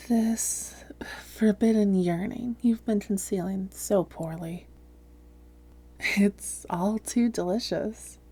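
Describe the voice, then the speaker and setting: A woman playing a seductive, domineering vampire posing as a therapist in an intimate roleplay audio, speaking softly and deliberately with frequent whispering. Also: medium pitch. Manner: soft, breathy, often whispering, deliberate. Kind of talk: intimate roleplay audio drama, hypnotic delivery.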